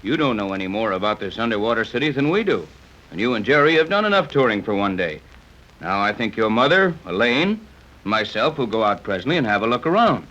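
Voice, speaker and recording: An actor playing Captain Tex Bradford, a blunt, suspicious adventurer, in a 1930s radio serial, brisk and commanding. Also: male voice, fairly low pitch, very animated, sharp tone. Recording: muffled 1930s radio recording.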